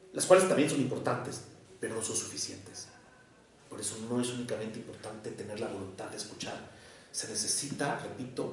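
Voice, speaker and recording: A man with a Mexican accent giving a prepared lecture in a deliberate, didactic way, with emphatic pauses. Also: mid-range voice, creaky. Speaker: lecturer on psychoanalysis. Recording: echoey room, distant microphone.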